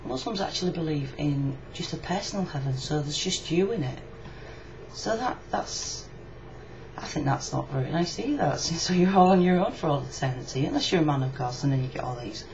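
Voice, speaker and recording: A woman's voice: low-pitched, brisk. A woman with a Yorkshire accent talking casually, frankly and reflectively in a personal video reply. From Yorkshire, England. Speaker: video blogger. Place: indoors in a room. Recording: muffled lo-fi webcam audio, clear background noise.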